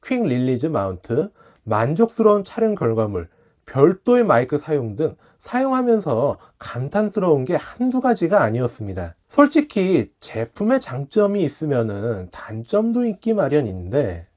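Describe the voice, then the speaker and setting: A man presents a scripted product review in a polite, explanatory manner. His voice sits a little high for a man and moves through very animated rises and falls. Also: normal speed. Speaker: tech review channel host.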